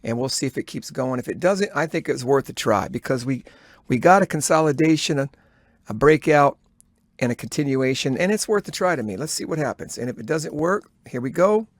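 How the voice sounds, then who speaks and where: A man giving live day-trading commentary, thinking aloud in a halting, instructive way as he talks to himself and viewers. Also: medium pitch, animated, no room sound. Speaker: day trader recording a trading journal.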